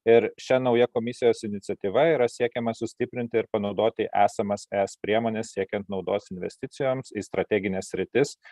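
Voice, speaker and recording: A man with a Lithuanian accent hosting a webinar over a video call, polite and measured. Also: fairly low pitch, fairly even intonation, mellow, dry recording, studio quality. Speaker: virtual host.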